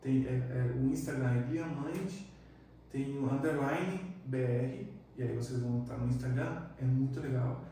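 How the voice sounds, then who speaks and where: A man from Argentina, a psychiatrist guest talking in a relaxed, reflective way with many fillers. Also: medium pitch, normal speed, slight background noise. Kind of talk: casual interview chat. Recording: echoey room, distant mic.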